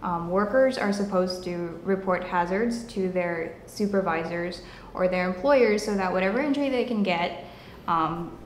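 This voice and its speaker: A woman's voice: mid-range voice, lightly acted. A young woman playing a concerned coworker urging a friend in an amateur drama. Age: teenager or young adult. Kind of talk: amateur scripted drama dialogue. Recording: roomy echo, clear background noise.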